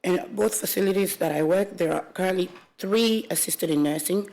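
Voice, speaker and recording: A woman's voice: fairly low pitch, moderate pace, vocal fry. Young woman reading her statement aloud. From Congo. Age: 20s. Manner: steady, careful reading with small restarts. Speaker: witness, aged care worker. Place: public hearing room.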